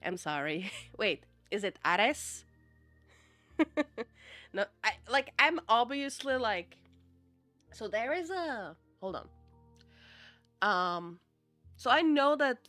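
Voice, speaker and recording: A woman chats unhurriedly with her stream viewers, drawling and in no rush. She rambles through her thoughts, padding them with fillers, and breaks into laughs often. Soft music plays faintly behind her. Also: a little high, sing-song, slow pace, pauses often, dry recording. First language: Czech. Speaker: live streamer. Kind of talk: casual chat with viewers.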